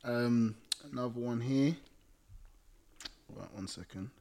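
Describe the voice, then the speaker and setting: A man's voice: medium pitch, slow, mellow, a little hiss or hum. A man co-hosting a casual podcast, reading viewer comments aloud with a teasing edge and frequent laughter. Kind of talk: casual banter.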